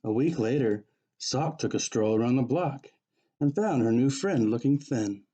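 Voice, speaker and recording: A man with a soft, mellow voice reads a children's picture book aloud. He follows the rhyming verse as a storyteller, at an ordinary middle pitch. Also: expressive, normal speed. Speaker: pastor reading a children's story.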